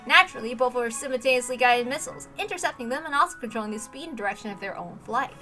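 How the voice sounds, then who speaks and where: A woman reading a story aloud as a narrator, with an animated, emphatic dramatic delivery. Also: on the high side, very fast, soft background music. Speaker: reader narrating a visual novel.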